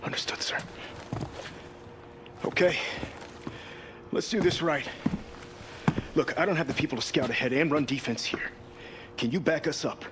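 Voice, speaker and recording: An actor playing the head of a president's protective detail, talking in a clipped, professional way. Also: male voice, fairly high pitch, moderate pace, pauses often, background noise, natural voice. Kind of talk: game cutscene acting.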